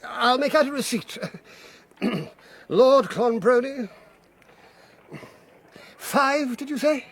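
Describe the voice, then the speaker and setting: High, raspy, excitable moneylender. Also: female voice, slow pace, pauses often, full character voice. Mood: grudging surrender. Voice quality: raspy, creaky. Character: excitable, grudging moneylender haggling over debts. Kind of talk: period radio drama acting.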